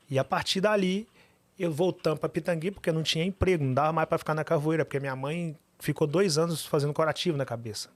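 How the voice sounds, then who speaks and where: A man, a podcast guest telling his life story with animated, emphatic repetition. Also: on the high side, no room sound. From Minas Gerais, Brazil.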